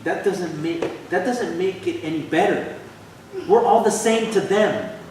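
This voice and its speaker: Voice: medium pitch, animated, unhurried, natural voice. A performer playing a frustrated, insistent young activist angry about police killings in a staged play reading. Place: theater hall, recorded from the audience. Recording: echoey, noisy, distant stage recording.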